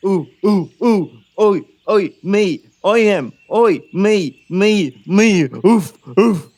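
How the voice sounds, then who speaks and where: A man voicing an eager, simple talking police dog in a comic audio drama, with drawn-out words and doggy yelps. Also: sits high, darkish tone. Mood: excited, proud.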